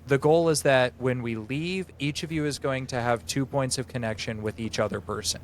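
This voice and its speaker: GM type, twenties, mid-pitched voice, methodical and plain-spoken, a light hiss of room noise. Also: male voice, moderate pace. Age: 20s. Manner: organized, explains rules step by step. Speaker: game master. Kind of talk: running a tabletop session zero.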